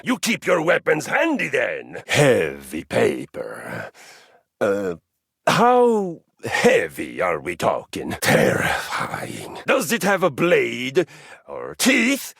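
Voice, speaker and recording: A violence-crazed warrior handrail. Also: male voice, vocal fry, breathy edge, no echo, clean studio sound, heavily acted. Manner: grandiose, theatrical, swings from booming shouts to hushed menace. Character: bloodthirsty male warrior handrail, boastful, violence-obsessed. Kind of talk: video game voice acting.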